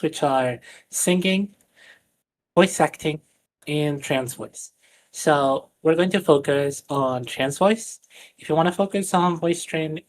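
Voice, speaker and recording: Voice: mid-range voice, slight background noise. Earnest voice coach, native Spanish speaker. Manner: earnest, explanatory, with many fillers and restarts. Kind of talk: teaching a voice training class on a live stream.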